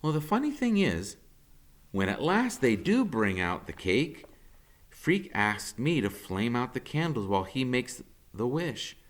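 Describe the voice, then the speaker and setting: A man, a teacher, reading a novel aloud in the first person with an expressive, conversational delivery. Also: medium pitch, unhurried. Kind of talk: audiobook narration in first person.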